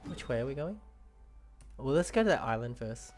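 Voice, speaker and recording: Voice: mid-range voice, big pitch swings, normal speed, darkish tone. A gamer making casual, off-the-cuff commentary to themself while playing a video game. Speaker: player streaming a video game playthrough. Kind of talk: casual gameplay commentary, talking to self. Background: game music.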